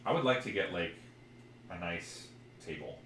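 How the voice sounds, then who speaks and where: A man's voice: low voice, expressive, normal speed, a bit bright. A man co-hosting a podcast, chatting casually and reading a mystery game aloud. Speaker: podcast co-host. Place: echoey room, live streamed podcast. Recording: roomy echo with background noise.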